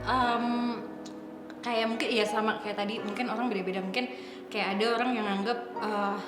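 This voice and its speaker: A woman's voice: mid-range voice, fairly even intonation, moderate pace. A woman in her twenties with an Indonesian accent, talking casually in a group discussion and mixing in English phrases. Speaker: panelist. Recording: roomy sound with music underneath. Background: background music.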